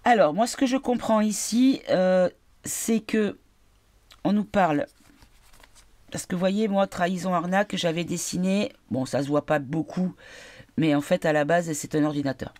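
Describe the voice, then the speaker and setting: A woman giving a casual card reading, tired and congested from a cold, halting as she searches for her words. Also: fairly low pitch, a little mellow. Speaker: card reader.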